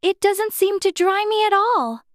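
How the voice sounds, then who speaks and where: A man's voice: very high voice, lively intonation, unhurried, a bit bright, no room sound, clean studio sound, voice pushed up, full character voice. A man voicing a young girl, sounding plaintive and polite in a storybook reading. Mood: melancholy.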